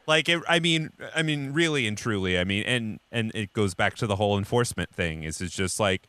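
An American man co-hosting a podcast in casual political discussion, talking in a halting, rambling but emphatic way. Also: lowish voice, expressive, bright, forceful tone, smooth, no room sound. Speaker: podcast co-host.

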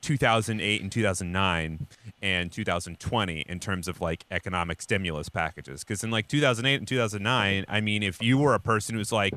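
An American man co-hosting a podcast in casual political discussion, talking in a halting, rambling but emphatic way. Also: on the low side, pushed and bright. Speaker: podcast co-host.

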